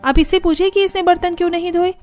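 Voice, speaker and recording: A woman's voice: very high voice, fairly even intonation, quick pace, darkish tone, faint music behind, voice pushed up. A woman voicing a practical, grumbling elder daughter-in-law in a cartoon family story, matter-of-fact and slightly aggrieved. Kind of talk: animated family story dialogue.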